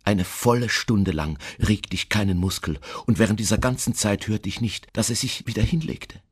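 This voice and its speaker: An actor playing an obsessive, mad murderer who insists he is sane, confessing in a dramatic radio monologue with hushed intensity and deliberate emphasis. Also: lowish voice, breathy edge. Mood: hushed, suspenseful.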